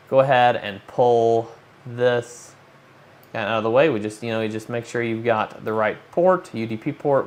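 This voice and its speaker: A man hosting a hobby livestream, talking informally and thinking aloud as he walks viewers through a demo. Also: mid-range voice. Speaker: livestream host, amateur radio operator. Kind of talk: casual livestream chat, walking through a demo. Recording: noticeable background noise.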